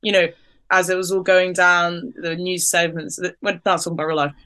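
A young woman co-hosting a podcast, talking in an animated, chatty way with lots of fillers. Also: on the low side, fairly quick. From Ukrainian family, raised in the UK. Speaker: podcast co-host. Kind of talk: casual podcast discussion.

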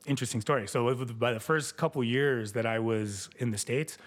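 Mid-pitched man, native Spanish speaker, guest on a casual chat podcast, loose and rambling, filler-heavy. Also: a little fast. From Mexico. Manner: rambling, full of 'you know' and 'like' fillers.

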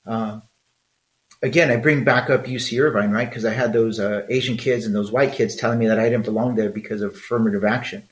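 A man's voice: on the low side, fairly even intonation, fairly quick, reverberant. A middle-aged man with a Mexican accent, talking frankly and conversationally in a solo podcast, with rambling asides. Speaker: podcast host.

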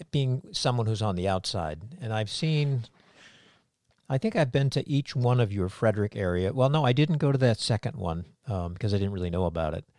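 Male interviewer, lowish voice, easygoing questions, dry close recording. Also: darkish tone, no room sound. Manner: conversational, leading questions. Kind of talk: casual interview chat.

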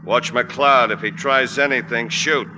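Tough male henchman played by an actor, mid-pitched, curt and bossy, noisy old radio drama. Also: fairly even intonation, unhurried, lightly acted. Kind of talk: 1940s radio acting. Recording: noisy 1940s radio recording.

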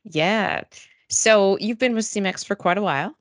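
A woman's voice: mid-range voice, normal speed, dry recording, studio quality. A woman hosting a company podcast, introducing a guest and asking friendly conversational questions. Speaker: podcast host.

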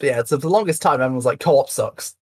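A young man from Yorkshire with a light Yorkshire accent, chatting casually as a guest, soft-spoken and a bit hesitant. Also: mid-range voice, lively intonation, quick pace, breathy edge, no room sound, clean studio sound. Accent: light Yorkshire English. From Yorkshire, England. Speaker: speedrunner guest. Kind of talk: casual chat.

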